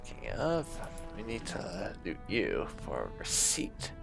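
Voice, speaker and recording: A man's voice: deep voice, wildly swooping pitch, unhurried, creaky. A man hosting a casual roleplay playthrough of a game, musing and wry as he comments. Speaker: gaming video host. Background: game music.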